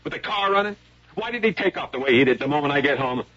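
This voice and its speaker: Bitter American husband, muffled radio. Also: male voice, a little high, expressive, fast, a bit bright, dry recording. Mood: angry, accusing. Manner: terse, accusing. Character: bitter, jealous husband, suspicious and hot-tempered. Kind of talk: radio drama acting with hard-boiled narration. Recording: muffled old radio drama, faint music.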